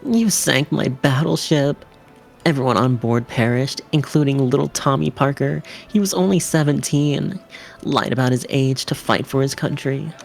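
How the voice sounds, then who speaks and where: A woman voicing a dry, mock-solemn student gamer in a game, needling in a deadpan, theatrically grave manner. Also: rumbling low, moderate pace, gravelly, faint music behind.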